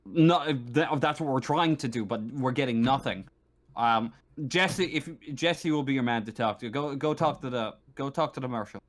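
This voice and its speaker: A man's voice: mid-range voice, moderate pace, lightly acted. A man playing a stressed, exasperated security officer on a space station in a tabletop game, blunt and repeating himself. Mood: frustrated.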